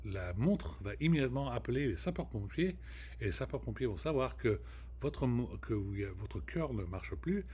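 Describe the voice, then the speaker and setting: A man's voice: mid-range voice, very animated, normal speed, a little mellow, breathy edge. A man hosting his own podcast, talking casually in with many restarts and fillers and slipping into English words. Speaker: podcast host. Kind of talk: casual monologue to camera.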